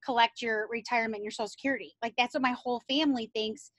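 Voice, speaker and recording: A woman's voice: on the high side, fairly even intonation, racing, a bit bright, no echo. A woman chatting on a team video call, giggly and casual, with lots of "like". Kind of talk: casual chat.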